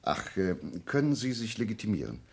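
An actor playing a shrewd, self-assured amateur detective in a German radio play, reasoning aloud deliberately with theatrical swings in a gravelly, husky voice. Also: male voice, on the low side, very animated, a little hiss or hum. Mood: genial, sly.

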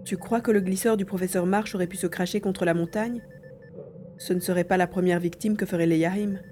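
Woman playing a low, mellow-voiced hesitant boy adventurer. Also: steady tone, a little fast, a little hiss or hum, lightly acted. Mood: puzzled, questioning. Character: hesitant young boy adventurer grieving his lost father. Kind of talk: audio drama acting.

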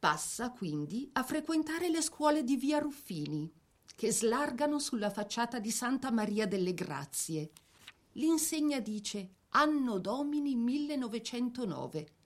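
A woman narrating a scripted biographical drama in an expressive, measured storytelling manner. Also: on the high side.

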